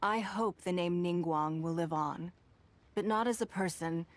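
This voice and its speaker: A woman playing a poised, authoritative and protective noblewoman in a video game, measured and formal. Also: on the low side, slight background noise, close to an everyday voice. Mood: solemn.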